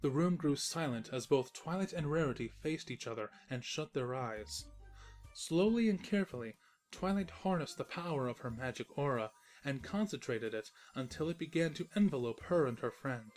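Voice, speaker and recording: A man's voice: medium pitch, lively intonation, normal speed, darkish tone, faint music behind. A man narrating a scripted comic story with a wry, teasing manner.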